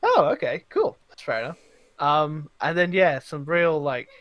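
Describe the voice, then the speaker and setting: A man's voice: a little high, very animated, normal speed, no echo, muffled recording. A man chatting casually on a gaming podcast, rambling along and acting out reactions as he tells stories. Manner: rambling, acts out quoted reactions. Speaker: video game podcast host. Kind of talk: casual animated podcast chat.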